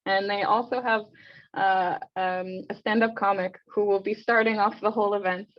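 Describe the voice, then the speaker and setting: A woman hosting and moderating an online panel discussion, speaking conversationally with frequent hesitant pauses. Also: mid-range voice, steady tone, muffled recording. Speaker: host and moderator of an online event.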